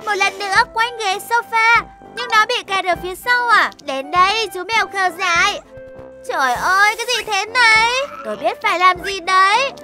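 A woman voicing an excitable, bossy little animal child in a children's cartoon, with a childlike, exclamatory, singsong delivery. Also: very high voice, normal speed. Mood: excited. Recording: music behind the voice.

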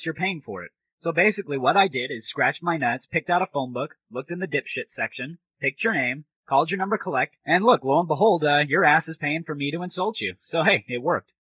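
A man's voice: fairly high pitch, lively intonation, fast talker, hardly any pauses, slightly rough. A man hosting a comedy audio show, talking casually and crudely in a sarcastic, irreverent manner. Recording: muffled, noisy lo-fi audio.

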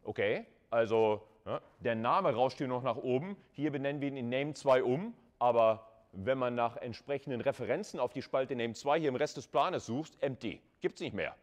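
Male lecturer, mid-pitched, conversational and informal, talking students through material with rhetorical questions. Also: normal speed, few pauses. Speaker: university lecturer. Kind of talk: live lecture explanation, addressing students. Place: classroom with chalkboard.

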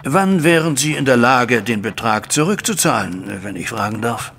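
An actor playing an anxious, respectable banker in an audio drama, recounting events in formal, wordy, deferential speech. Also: male voice, medium pitch, lively intonation, normal speed, creaky, fairly bright tone, a little hiss or hum. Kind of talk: audio drama acting, narrating a flashback.

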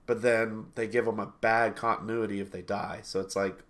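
A man's voice: lowish voice, level tone, moderate pace, a bit bright. A sniffly man in his thirties talks over a video call through a headset from a small room at home. He rambles in a casual way, often doubling back and correcting himself, with easy laughs in between. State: sniffly from allergies. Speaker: game reviewer co-host.

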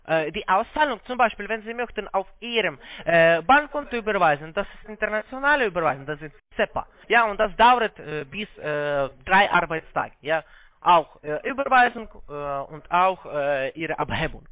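A man who is not a native German speaker, talking haltingly in accented, broken German on a sales call, evasive in manner. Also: sits high, expressive, dry recording. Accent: foreign accent in German. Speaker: call-centre scammer posing as trading platform agent. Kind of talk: phone sales conversation. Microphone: telephone. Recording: muffled tinny phone line.

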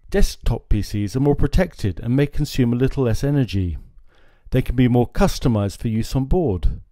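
A man explains equipment in a steady, informative manner. His voice is mellow and lively in pitch. It is recorded dry and close on a lapel mic in a small indoor showroom. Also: dry recording. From France. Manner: steady, informative, gestures at screens. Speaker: marine electronics presenter. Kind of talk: scripted product explainer.

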